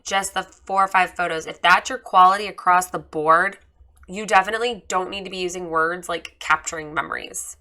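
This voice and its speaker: Woman a bit hoarse, bright lowish voice, chatty candid website review, lots of ums. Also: steady tone, brisk. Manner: candid, chatty, many fillers. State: slightly hoarse from lost voice. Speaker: photographer giving a website review. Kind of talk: casual screen-share critique.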